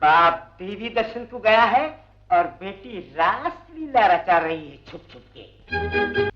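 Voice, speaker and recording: An actor playing a greedy, wily go-between for a guru, sanctimonious and coaxing, in old Hindi film comedy style. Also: male voice, high voice, big pitch swings, slow pace, noisy background, exaggerated voice. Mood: coaxing, sly. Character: wily, greedy sham holy man's mouthpiece. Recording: dull 1950s film soundtrack.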